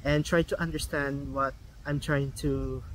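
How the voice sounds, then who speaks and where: A man's voice: mid-range voice, little pitch movement, a little slow, a bit bright, slight background noise, rough recording. A young Filipino man with a Filipino accent and Filipino as his first language, vlogging casually, with many ums and restarts. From Philippines. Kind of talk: casual vlog talk.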